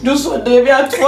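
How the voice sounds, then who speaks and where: Ghanaian woman chatting fondly over music. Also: sits high. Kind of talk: casual reunion chat, reminiscing. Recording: roomy sound with music behind.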